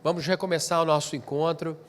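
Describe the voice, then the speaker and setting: A man lectures in a conversational way, often pausing to restart a sentence or add an aside. His voice sits higher than most men's and sounds stuffed-up and nasal from allergies. A long reverb from the hall trails his words. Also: fairly even intonation. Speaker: speaker at a pastors' training session. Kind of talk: spoken lecture to a live group.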